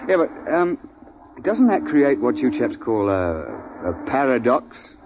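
A man's voice: medium pitch, very animated, a little slow, background noise. An actor playing an urbane, unflappable British big-game guide who wryly tells his tale in a 1950s radio drama. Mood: dry, curious. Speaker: lead actor and narrator. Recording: muffled 1950s radio recording.

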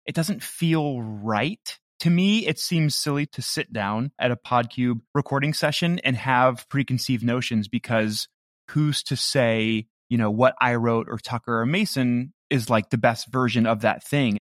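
A man with a medium-pitched voice talks in a dry recording. He is an interview guest, speaking casually. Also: a little slow, no room sound. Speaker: audio fiction creator, interview guest.

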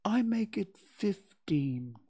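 A storyteller voicing a slow, humble, friendly but dim bear in a children's storybook, with a hesitant, earnest manner. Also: mid-range voice, expressive, warm tone, husky, voice pushed up, exaggerated voice. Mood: puzzled, earnest.

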